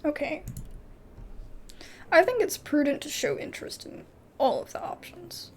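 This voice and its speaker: An American woman chatting casually as she hosts a gaming video, giggly and thinking out loud. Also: high-pitched, measured pace, slight background noise. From United States. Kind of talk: casual let's play chat and reading game text aloud.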